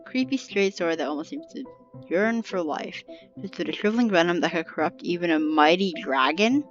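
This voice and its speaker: Low-voiced woman casually playing a game. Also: animated, normal speed, muffled recording. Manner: offhand, playful reactions, talks to herself. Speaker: gameplay streamer. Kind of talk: casual play-by-play commentary on a video game. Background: game music.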